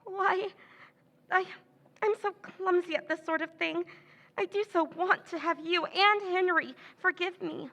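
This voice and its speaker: A woman's voice: very high voice, steady tone, a little slow, pushed and bright, highs cut off, exaggerated voice. A woman playing a scheming, stammering Pamela. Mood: nervous. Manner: stammering, hesitant, breathy pleading. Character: scheming woman Pamela feigning nervous distress. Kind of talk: 1940s radio acting.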